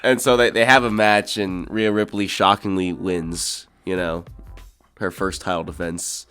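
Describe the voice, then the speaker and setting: A man's voice: sits low, fairly even intonation, measured pace, fairly bright tone, clean tone, faint music behind. A young man co-hosting a casual wrestling chat, recapping in a wry, giggly way. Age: young adult. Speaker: co-host.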